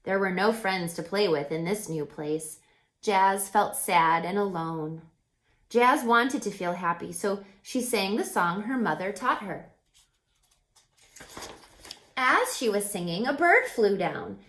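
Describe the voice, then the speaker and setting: A woman reading a picture book aloud to young children, expressive and emphatic, addressing the little listeners directly. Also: medium pitch. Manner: asks the listeners questions, emphatic, drawn-out phrasing. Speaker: storyteller, children's book reader. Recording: echoey room.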